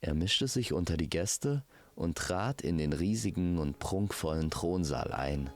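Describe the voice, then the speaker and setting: A man's voice: sits low, lively intonation, unhurried, darkish tone. A man narrating a scripted fantasy story as a storyteller.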